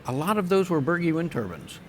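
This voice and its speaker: An older man, a company president, answering interview questions on a conference stage in a measured, engineer-like way with frequent ums and restarts. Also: fairly high pitch, very animated, a little hiss or hum. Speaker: wind turbine company president.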